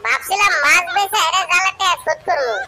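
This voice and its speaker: A tiny, extremely high cartoon squeal as an aggrieved village fisherman. He grumbles and scolds sarcastically in a rural Bengali dialect. The voice is electronically pitched up, with clear noise behind it. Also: lively intonation, fast talker. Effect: pitch-raised squeaky cartoon voice. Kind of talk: comic cartoon dialogue. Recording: loud background noise.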